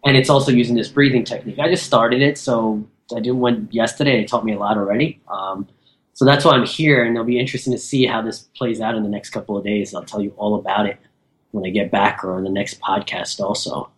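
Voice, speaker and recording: A man's voice: fairly low pitch, steady tone, fast, rough recording. A man co-hosting a podcast over a remote call, chatty and rambling with many fillers. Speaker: podcast co-host. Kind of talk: casual podcast chat.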